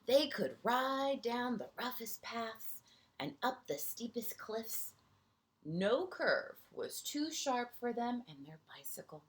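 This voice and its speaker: A woman reads a picture book to kindergarten children at a slow, measured pace. Her pitch moves up and down in a lively way. She is expressive, stepping out of the text to point out small details and put questions to the young listeners. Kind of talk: storybook read-aloud with asides to young children.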